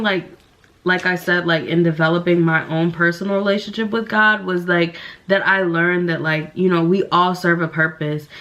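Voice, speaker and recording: A woman's voice: lowish voice, fairly bright tone, lo-fi sound. A young woman, a bit nasal from the flu, chatting in a casual get-ready-with-me vlog at home and rambling with lots of fillers. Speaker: beauty vlogger. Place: indoors at home, getting ready.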